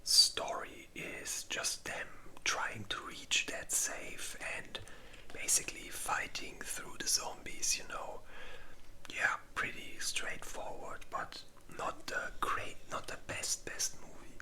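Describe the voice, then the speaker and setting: A breathy ASMR whisper with a crisp, bright edge. The delivery is slow and informal, halting now and then as the creator works through a casual ranking. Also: poor audio.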